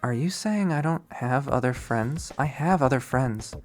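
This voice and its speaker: A narrator voicing a shy, deadpan teenage girl who mumbles defensively, in a visual novel. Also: medium pitch, measured pace, faint music behind, close to an everyday voice. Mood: defensive muttering.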